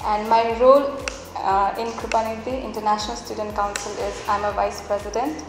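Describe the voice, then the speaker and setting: A young woman from Nepal gives a self-introduction at a medium pitch. Her voice echoes in a hall, with music behind. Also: steady tone, a little fast. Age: young adult. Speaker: student council vice president.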